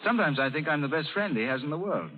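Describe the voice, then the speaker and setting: Dashing young pirate, cocky and romantic, swinging animated delivery, tinny vintage radio drama. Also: male voice, on the high side, quick pace. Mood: playful. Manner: playful, theatrical, teasing. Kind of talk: 1930s radio drama acting. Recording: tinny 1930s radio broadcast.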